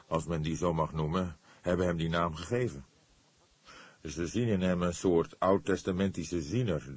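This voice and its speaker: A seasoned senior police detective from an audiobook, played by a male reader. The voice is low and husky, measured and patient, earnest in explaining things. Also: dry recording. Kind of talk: audiobook character dialogue.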